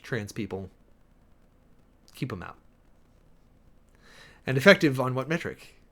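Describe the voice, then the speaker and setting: A man's voice: medium pitch, animated, takes it slowly, pushed and bright. A man giving a dramatic, emphatic reading of an official document, breaking off often for sardonic asides and commentary as host. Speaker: host, preacher.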